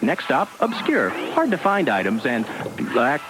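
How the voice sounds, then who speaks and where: A man's voice: mid-range voice, wildly swooping pitch, unhurried, poor audio. An actor playing a chatty male elevator operator guiding shoppers in a comic radio commercial. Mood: chirpy salesman. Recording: AM radio ad with music.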